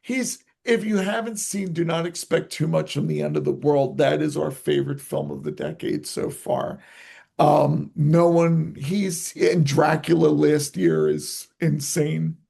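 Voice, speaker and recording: Gravelly enthusiastic man, native Italian speaker. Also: on the high side, a little slow. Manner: enthusiastic, animated storytelling. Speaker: guest filmmaker. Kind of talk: casual interview chat.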